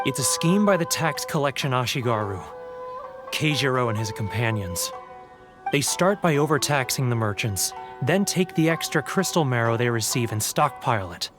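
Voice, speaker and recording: An actor playing a homesick, guilt-ridden, weak-willed merchant in a video game, with a theatrical, anguished delivery. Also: male voice, medium pitch, lively intonation, moderate pace, over music, natural voice. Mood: confessing.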